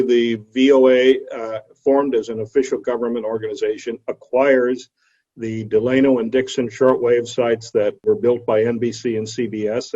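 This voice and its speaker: Mellow-voiced man lecturing over video call. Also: mid-range voice. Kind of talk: illustrated history lecture. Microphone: video call microphone. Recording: dull video call audio.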